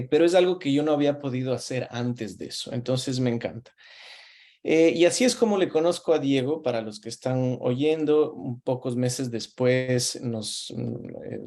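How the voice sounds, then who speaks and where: Relaxed Ecuadorian man hosting a chat. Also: mid-range voice, creaky. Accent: Ecuadorian Spanish. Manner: relaxed, talks with frequent fillers and restarts. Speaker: host. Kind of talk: casual conversational interview.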